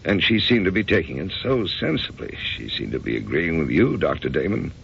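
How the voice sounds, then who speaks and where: An actor playing a bewildered, conventional middle-aged American husband in a radio drama, with a gravelly, hesitant delivery. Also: male voice, deep voice, big pitch swings, fairly bright tone, a little hiss or hum, heavily acted. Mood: bewildered, distressed. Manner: hesitant, halting. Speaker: actor and first-person narrator. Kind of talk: old-time radio drama acting. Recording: dull, muffled radio drama recording.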